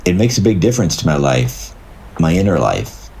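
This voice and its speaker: A man playing a good-natured, simple plumber in an audio drama, plainspoken, musing and earnest, with a husky voice. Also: sits low, sing-song, moderate pace, a little mellow, full character voice, low-quality recording. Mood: sincere.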